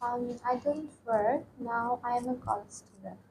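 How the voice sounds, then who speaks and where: Casting: teen girl, high voice, slow halting answers, faint background hiss. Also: a little mellow, poor audio. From Bangladesh. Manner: hesitant, frequent ums and pauses. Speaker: test candidate. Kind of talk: mock speaking exam answers.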